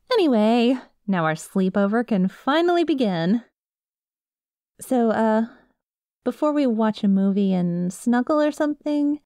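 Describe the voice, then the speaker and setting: A woman's voice: medium pitch, warm tone, dry recording, clean studio sound, lightly acted. A woman playing a nervous, clingy young best friend with a secret obsession, rambling hesitantly and casually in an ASMR roleplay. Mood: cheerful, eager.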